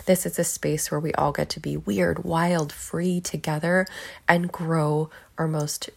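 A woman in her thirties hosting her own podcast solo, gushing and affectionate, swearing casually, hoarse as she is losing her voice. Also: low voice, level tone, measured pace. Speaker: podcast host.

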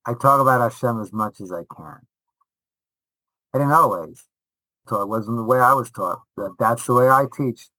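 A man with a bright, forceful voice and a close, dry sound talks casually in an interview. He is animated and emphatic, restating short phrases to drive them home, like a teacher making his point. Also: mid-range voice, moderate pace, long pauses, no echo, rough recording. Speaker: rabbi and high school teacher.

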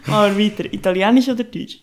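A woman hosting a casual interview, lively and quick to laugh. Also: mid-range voice, a little hiss or hum. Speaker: interview host.

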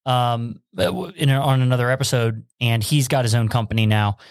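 A man hosts a podcast in an easygoing, affirming way and becomes lively when he tells a story. His mid-range voice is recorded close and dry, with no room sound around it. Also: mid-range voice, a little fast, clear, resonant tone, dry recording. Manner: casual, affirming, animated when telling a story. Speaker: podcast host. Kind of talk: conversational interview.

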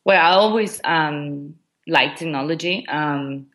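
A woman's voice: sits low, slow pace, fairly bright tone. Ecuador-born woman, hesitant interview answers. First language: Spanish. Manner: hesitant, many ums and restarts. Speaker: guest, education coordinator.

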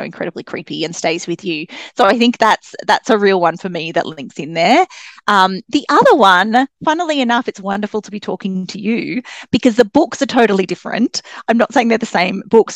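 A woman's voice: medium pitch, no room sound. A woman chatting enthusiastically as a guest author on a book podcast. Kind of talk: casual book podcast conversation.

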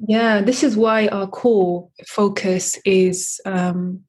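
A woman from Eritrea, a coffee company founder answering interview questions in a measured way, with many fillers and restarts. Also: medium pitch, steady tone, a little mellow, slightly rough.

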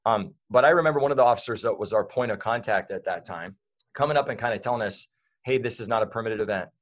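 Rambling man with a low voice. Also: quick pace. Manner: rambling, many fillers and restarts. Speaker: guest. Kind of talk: casual interview talk.

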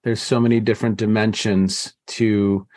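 A man with a fairly low voice hosts a long-form interview in a conversational style. He is appreciative and affirming, often repeating himself for emphasis. The sound is very dry, without room echo. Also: level tone, moderate pace, darkish tone. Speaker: interview host.

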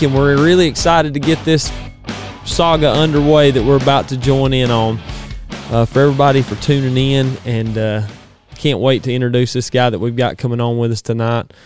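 Male podcast host, casual and halting, clean resonant tone, mid pitch. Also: a little mellow, clear, resonant tone, dry recording, studio quality. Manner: folksy, halting with restarts. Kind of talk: casual interview chat. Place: small studio.

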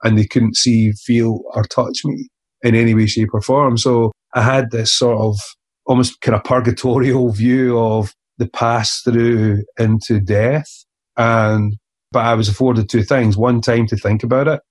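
A man, an author being interviewed, speaking earnestly and haltingly with many restarts. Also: medium pitch, little pitch movement. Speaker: author guest. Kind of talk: reflective interview conversation.

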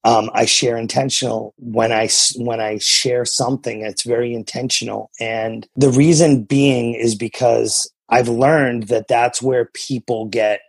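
A man's voice: mid-range voice, fairly even intonation, a little slow, vocal fry, hardly any pauses, breathy edge, no room sound, low-quality recording. An American man from New England speaking as a coach and guest in an interview, earnest and emphatic, repeating phrases to drive his motivational points home. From New England, USA.

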